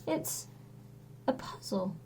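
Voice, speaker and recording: A woman's voice: slow, warm tone, lightly acted. A woman voicing a mighty but dim male Greek hero in a children's storybook, boastful, dramatic and childishly emotional. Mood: miserable. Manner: bombastic, dramatic, prone to wailing.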